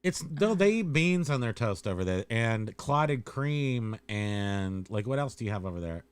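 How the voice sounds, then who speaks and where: A man's voice: on the low side, sing-song, a little slow, clear, resonant tone, no echo. A man co-hosting a livestream, chatting casually in a goofy, teasing, playful way. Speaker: co-host of a livestream. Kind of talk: casual joking livestream chat.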